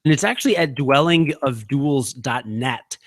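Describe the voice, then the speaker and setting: A man's voice: mid-range voice, lively intonation, moderate pace, a bit bright, no echo, studio quality. A man co-hosting a comedic podcast with casual banter, sounding stoned, rambling and slangy. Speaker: podcast co-host.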